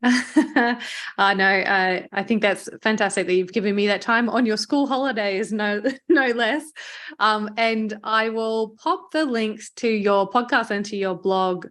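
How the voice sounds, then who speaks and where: An Australian woman speaks as a podcast host in a medium-pitched voice. Her delivery is conversational and chatty, with an affirming, appreciative way of responding. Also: measured pace, a bit bright. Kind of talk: conversational interview hosting.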